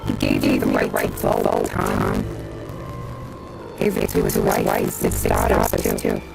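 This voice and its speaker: An actor playing a world-weary, chiding friend of a gangster in a video game, with a very gravelly voice. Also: male voice, extremely low pitch, little pitch movement, slow, music in the background, heavily acted. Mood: reflective, chiding. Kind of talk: video game cutscene acting.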